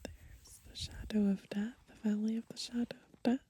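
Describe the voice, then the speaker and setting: A young woman softly whispering in a gentle ASMR style as she browses and reads from a book outdoors. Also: fairly high pitch, flat delivery, normal speed. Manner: soft, gentle, breathy whispering. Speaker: ASMR creator. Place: outdoors in the grass.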